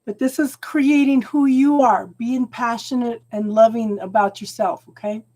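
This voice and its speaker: A woman doing a live tarot reading at home, talking slowly and soothingly, often checking in with "okay?", and clearing her throat as she loses her voice. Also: a little high, fairly even intonation, mellow. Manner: slow, soothing, keeps adding "okay?". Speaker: tarot reader.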